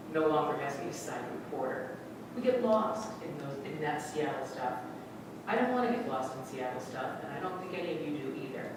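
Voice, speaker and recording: A middle-aged woman speaking for a community radio station during public comment at a council meeting, upbeat and persuasive. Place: council chamber. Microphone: podium mic heard from a distance. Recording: echoey hall, distant mic, noisy rumble.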